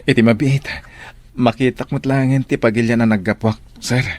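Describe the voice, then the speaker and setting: A man's voice: medium pitch, fairly even intonation, normal speed, natural voice. An actor playing a polite young man who yearns for his family, in an Ilocano radio drama. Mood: eager.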